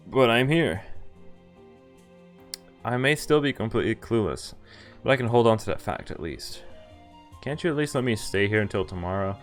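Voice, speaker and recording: Deep-voiced man reading a visual novel aloud. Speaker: game streamer. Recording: music behind the voice. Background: game music.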